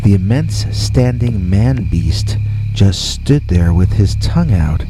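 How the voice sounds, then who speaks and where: Slow, deep-voiced man narrating horror. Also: animated, music in the background, poor audio. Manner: deliberate, dramatic pauses. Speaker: host and narrator of scary stories. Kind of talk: scripted horror story narration.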